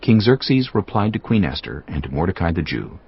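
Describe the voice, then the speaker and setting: A deep-voiced man narrates scripture with solemn, measured drama. His tone is husky and his pitch moves expressively, with music underneath. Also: sing-song. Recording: dull lo-fi audio with music behind.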